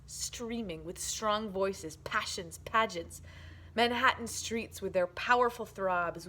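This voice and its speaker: A woman's voice: medium pitch, fairly bright tone, slight background noise. A woman giving a declamatory, emphatic dramatic reading of poetry.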